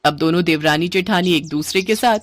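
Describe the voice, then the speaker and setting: A woman's voice: fairly low pitch, a little fast, faint music behind. A woman narrator reading a scripted family story.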